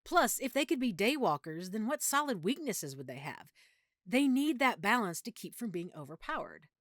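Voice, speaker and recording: A woman's voice: medium pitch, sing-song, normal speed, fairly bright tone, no echo, studio quality. A woman playing an affectionate, opinionated girlfriend who is secretly a vampire, in an intimate, conversational audio roleplay. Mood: earnest, geeky. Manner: chatty, playful, geeky explaining.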